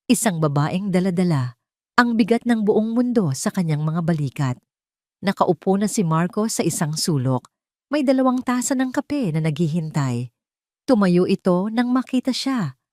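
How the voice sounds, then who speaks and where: Female storyteller, lower register, dry close sound, dramatic scripted narration. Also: darkish tone, no room sound.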